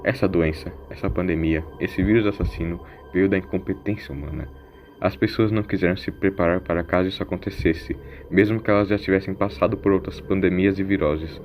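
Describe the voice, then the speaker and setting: A man's voice: low-pitched, steady tone, brisk, lo-fi sound, a little hiss or hum, natural voice. A man voicing a sarcastic, embittered and preachy wanderer in an audio story. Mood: sardonic, indignant.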